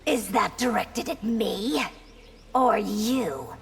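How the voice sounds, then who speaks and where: A woman playing a proud, vengeful young empress in a video game, coolly taunting and threatening in a deliberate way. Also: mid-range voice, vocal fry, slightly rough, exaggerated voice. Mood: cool threat. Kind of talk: video game drama acting.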